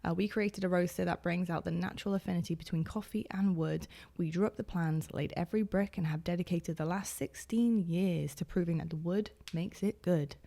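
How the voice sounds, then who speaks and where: A woman's voice: lowish voice, a little fast, gravelly, hardly any pauses, dark tone. A woman co-hosting a podcast, chatting casually and gushing as she reads product labels aloud. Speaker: podcast co-host. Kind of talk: casual chat. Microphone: broadcast in-ear monitors.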